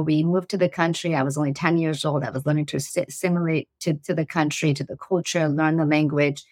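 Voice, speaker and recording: Low-voiced woman with a slight Iranian accent. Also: no room sound. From Iran. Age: 40s. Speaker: guest, startup CEO. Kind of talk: conversational interview answers.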